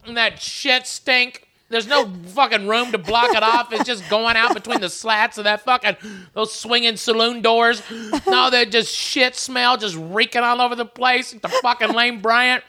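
A woman hosts a podcast with a bright, forceful, brassy voice. She swears constantly and spins wild exaggerations, laughing as she goes. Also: fairly high pitch, fairly even intonation, unhurried. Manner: profane, brassy, exaggerating, laughing through lines. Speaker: podcast host. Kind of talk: crude comic riffing.

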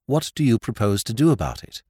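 A man's voice: mid-range voice, sing-song, brisk, dark tone, husky, no room sound. A man voicing a confident young American who lays out an accusation coolly and sarcastically, in a dry recording. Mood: sardonic. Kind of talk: audiobook character voice.

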